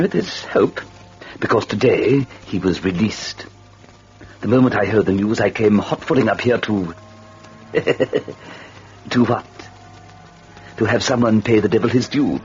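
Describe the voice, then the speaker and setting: Urbane devil, gleefully wicked, theatrical swooping delivery, mock-courteous, old radio horror, muffled lo-fi recording. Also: male voice, lowish voice, darkish tone.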